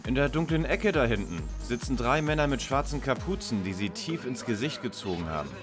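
A man's voice: medium pitch, expressive, fairly quick, lightly acted. A man plays an articulate, wry young detective leader in a parody audio drama. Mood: wry, composed. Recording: long reverb, music behind.

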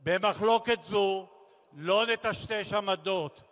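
A middle-aged man from Israel delivers a formal political speech at a memorial rally, solemn and declamatory, in deliberate phrases with emphatic pauses. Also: high-pitched, fairly bright tone. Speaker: speaker at a memorial rally, former military commander. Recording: large reverberant public-address sound.

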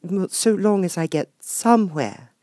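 A man acts a young storybook girl, high and lilting. She is polite and curious, a little timid, and speaks slowly with earnest care. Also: expressive, a little mellow, dry recording, clean studio sound.

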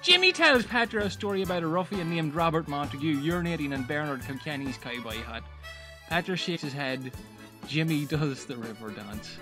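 An Irishman in excitable comic banter, swearing freely. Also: on the high side, moderate pace, over music. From Ireland. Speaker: comedy podcast cast member. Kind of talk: comic banter and script reading.

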